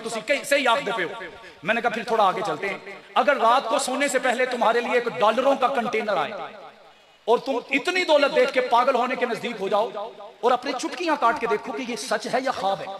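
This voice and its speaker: High-pitched Pakistani male preacher, crowd behind. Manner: rhetorical, repeats phrases and prompts listeners for answers. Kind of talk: fiery sermon to a crowd. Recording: echoey PA with loud crowd behind. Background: crowd responding.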